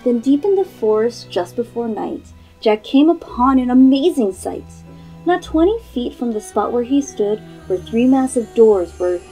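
A woman's voice: fairly high pitch, dark tone, rough recording. A woman in her twenties doing a casual children's picture-book read-aloud in a small room. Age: 20s.

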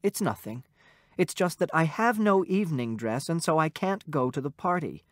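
A petulant young wife, as a male narrator puts her on, vain and quick to tears. Her lines jump high above the reader's own voice. The recording is dry and close. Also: big pitch swings, a bit bright, dry recording, heavily acted. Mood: resigned. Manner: petulant, impatient.

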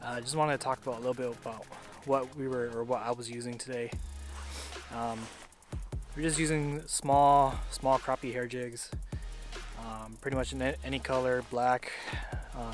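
A man's voice: medium pitch, normal speed, soft background music. Laid-back Minnesotan guy filming by a river. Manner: relaxed, chatty, many fillers. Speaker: fishing vlogger. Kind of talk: casual outdoor fishing vlog. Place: outdoors by a river. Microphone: head-mounted action camera.

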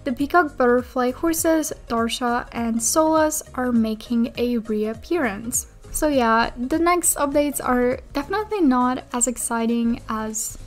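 High-voiced woman hosting a gaming update. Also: measured pace, hardly any pauses. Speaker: gaming video creator and host. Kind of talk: casual game update commentary, partly reading announcements aloud. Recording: voice over background music. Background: background music.

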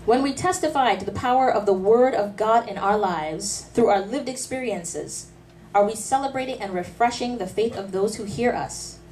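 A woman's voice: mid-range voice, darkish tone, far from the mic, poor audio. A woman in her thirties, a spoken word artist, gives a testimony talk in a small pub room. Her delivery is earnest and rhythmic, built on rhetorical questions with light asides. The recording picks her up from across the room through a camera mic, with a faint hiss. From Canada. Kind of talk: faith talk with poetry reading. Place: small dim pub room, seated before a live audience. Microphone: handheld mic held close.

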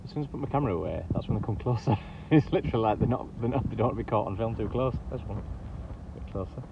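A man's voice: lowish voice, fast talker, gravelly. Guy chatting outdoors, lots of "you know", lo-fi camera audio, wind noise. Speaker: vlogger. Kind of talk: casual outdoor vlog chat. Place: outdoors, kayak at sea then beach. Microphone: action camera.

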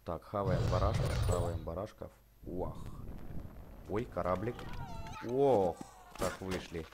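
A man giving excited, chatty game commentary for children, exclaiming, repeating words and addressing his viewers. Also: low-pitched, slow, heavy background noise. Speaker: gaming channel host.

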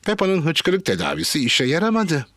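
Expressive male cartoon narrator, lively pitch. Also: moderate pace, noisy background. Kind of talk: scripted children's cartoon narration.

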